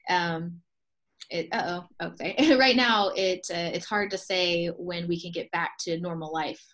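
A woman's voice: lowish voice, unhurried, a bit bright. Chatty upbeat woman on a video call. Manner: chatty, upbeat, laughs often. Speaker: host, expo co-owner. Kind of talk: casual video call interview.

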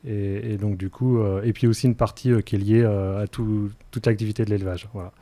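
Low, mellow man explaining haltingly. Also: fairly even intonation, dry recording. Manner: hesitant, halting, frequent fillers. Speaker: interview guest, energy transition project officer. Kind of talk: explanatory radio interview answers. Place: radio studio, indoors. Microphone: close studio microphone.

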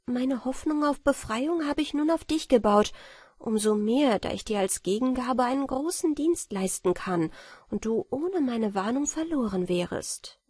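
A woman's voice: on the high side, lively intonation, measured pace, darkish tone, breathy edge, no echo, studio quality, pitched above the performer's own voice. A woman voicing a sly, defiant talking magpie in a fairy-tale audiobook, speaking in an insistent, dramatic way. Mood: urgent, warning.

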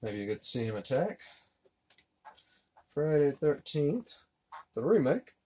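A man in his thirties giving a relaxed, rambling unboxing commentary while handling discs at his desk. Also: fairly high pitch, a little slow. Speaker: collector unboxing a disc set. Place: collectibles room indoors. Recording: noisy home recording.

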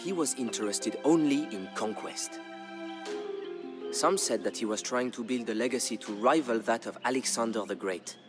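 A man playing a solemn storyteller in a strategy game, narrating war history in a measured, dramatic, deliberate way. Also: mid-range voice, lightly acted. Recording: narration over background music. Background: music.

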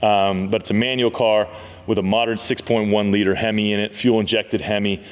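A man in his thirties casually telling a story to camera in a large car garage, relaxed and chatty with many fillers. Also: on the low side, a little fast, clear, resonant tone. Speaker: car dealership owner and channel host. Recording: reverberant garage hall.

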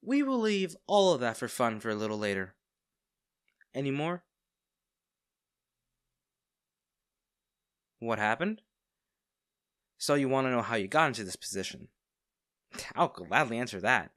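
A man's voice: mid-range voice, sing-song, fairly bright tone, no room sound. A man playing a smug, teasing villain, close and dry. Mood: casual, coy. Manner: teasing, coy, drawn-out pauses. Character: smug, teasing villain man, secretly fond of captive hero. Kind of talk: intimate scripted audio roleplay.

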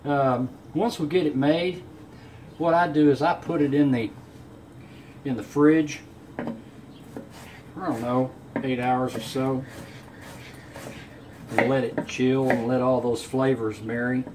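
Male home cook, unhurried folksy delivery, mid-range voice, clearly noisy kitchen sound. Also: mid-range voice, steady tone. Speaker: home cook presenting a recipe. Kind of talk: casual cooking demonstration. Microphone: camera on counter a short way off. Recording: clear room noise in a kitchen.

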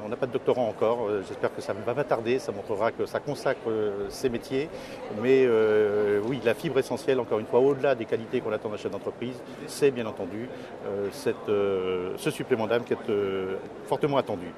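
A man in his fifties giving hesitant, measured interview answers as a company executive. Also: fairly low pitch, crowd noise. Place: trade fair interview stand, indoors. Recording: clearly noisy exhibition hall ambience.

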